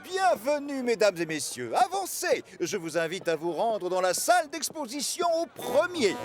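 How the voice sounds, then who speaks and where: Fussy bossy count, super high, swoops all over. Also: male voice, huge pitch swings, normal speed, over music. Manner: theatrical, bossy. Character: fussy aristocratic count, excitable.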